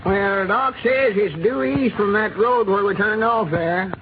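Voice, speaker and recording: A man's voice: high voice, a little slow. An actor playing a folksy old country man with a rural Arkansas drawl in a 1930s radio comedy. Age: older. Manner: drawling backwoods dialect, reassuring. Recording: tinny old radio transcription with hiss.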